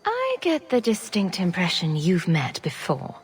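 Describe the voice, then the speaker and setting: A sardonic noblewoman champion from a fantasy game. Her delivery is dry yet animated, in a lowish register, with faint music underneath. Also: a little slow. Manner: dry, wry. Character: sardonic, confident noblewoman champion, wry adventurer. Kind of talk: video game cutscene acting.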